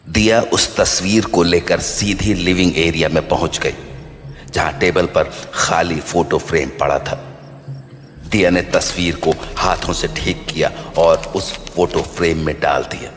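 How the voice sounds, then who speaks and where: A man's voice: low voice, fairly even intonation, measured pace. A man narrating a scripted horror story as the storyteller. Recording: long reverb over background music.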